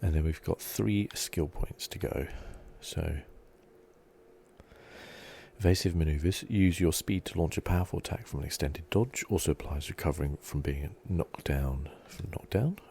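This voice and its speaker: A soft-spoken man giving relaxed, rambling ASMR commentary as he plays a video game, thinking aloud. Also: normal speed, creaky, a little hiss or hum. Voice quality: soft-spoken, low-key.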